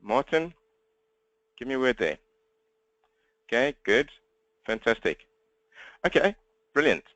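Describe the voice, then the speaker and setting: South African male trainer, rapid direct patter, mid pitch, dry room. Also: very animated, fairly bright tone, no echo. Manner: fast-talking, upbeat and direct. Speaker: webinar trainer. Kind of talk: live webinar presentation. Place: at home desk speaking to laptop. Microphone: laptop.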